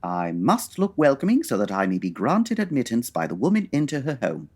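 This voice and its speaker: Pompous Victorian gentleman detective played by a woman: very deep, husky, precise and formal, animated delivery, comedy audio drama. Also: fairly quick, full character voice. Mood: formal, brisk. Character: brisk, pompous male Victorian detective, dryly impatient.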